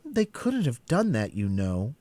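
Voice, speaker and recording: A man's voice: medium pitch, wildly swooping pitch, unhurried, warm tone, no room sound, voice pushed up. A man reading a storybook aloud and voicing a polite, inquisitive young girl in a raised voice. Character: prim curious young girl.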